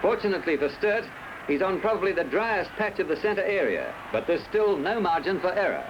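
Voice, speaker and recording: A man with an Australian accent narrating a scripted 1970s sports newsreel in formal, measured phrasing. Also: sits high, big pitch swings. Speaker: newsreel commentator. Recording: dull, noisy old newsreel soundtrack.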